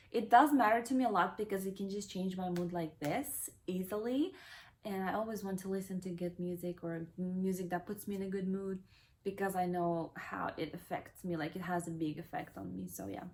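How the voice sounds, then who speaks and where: A young woman whose native tongues are Kazakh and Russian talks through a casual question-and-answer vlog. She reads each question out, then answers loosely and chattily, pausing on fillers as she thinks. Her voice sits at an ordinary middle pitch. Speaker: vlogger.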